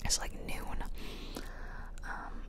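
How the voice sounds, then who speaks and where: A woman whispering casual, musing ASMR commentary close to the microphone as she plays a game. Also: very slow. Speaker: game streamer. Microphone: close microphone, ear-to-ear whispering.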